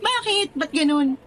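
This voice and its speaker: Woman playing a high-voiced, chatty young Filipina friend. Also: heavily acted. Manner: animated, reacting with exclamations and questions. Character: chatty, curious, teasing young female friend. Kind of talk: radio drama dialogue. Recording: music playing under the voice.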